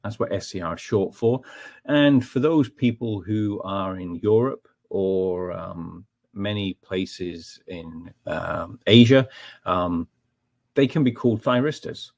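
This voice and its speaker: A Scottish man walks through a technical webinar in an unhurried, explanatory way, pausing on ums and checking his listeners follow. His voice sits low with a gravelly, slightly husky grain. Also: darkish tone. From Scotland. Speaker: technical trainer.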